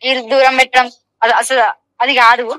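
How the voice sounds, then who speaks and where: High, bright, quick-talking young woman. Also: flat delivery, smooth, rough recording. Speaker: phone interview guest. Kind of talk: casual phone chat.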